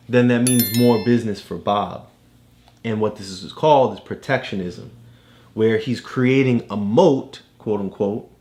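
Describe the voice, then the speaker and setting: A man tutoring a child, casually explaining a storybook in simple, halting steps. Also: fairly low pitch, a little mellow.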